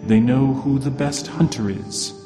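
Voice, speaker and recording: A man's voice: mid-range voice, measured pace, close to an everyday voice. A man voicing a stern old shaman in a traditional tale, speaking solemnly and commandingly to his village. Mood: solemn, instructive. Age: older. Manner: solemn, commanding, rhetorical questions. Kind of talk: oral storytelling of a traditional tale. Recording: long hall reverb, clear music underneath.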